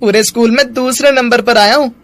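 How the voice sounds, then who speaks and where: A man voicing a poor, grateful ten-year-old boy in an animated moral story, speaking earnestly and politely. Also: extremely high pitch, brisk, no echo. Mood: happy.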